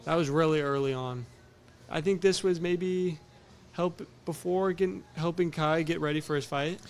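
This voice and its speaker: A man's voice: a little high, fairly even intonation, moderate pace. A young man from California, an amateur fighter guest, talking easygoing and humble in a casual interview. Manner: easygoing, humble, trailing sentences with "yeah".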